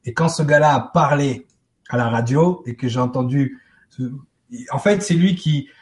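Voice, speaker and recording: A man's voice: mid-range voice, moderate pace. A man speaking informally as a guest on a live spiritual web show, acting out little exchanges as he explains. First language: French.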